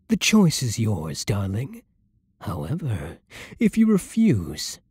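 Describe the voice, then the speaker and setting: A man playing a seductive, menacing vampire lord in an ASMR roleplay, with a breathy voice and a silky, theatrical, condescending manner. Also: medium pitch, a little mellow, dry recording, clean studio sound, full character voice. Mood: taunting, then threatening. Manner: slow, theatrical, silky condescension with dropping murmurs. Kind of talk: ASMR roleplay, scripted monologue to the listener.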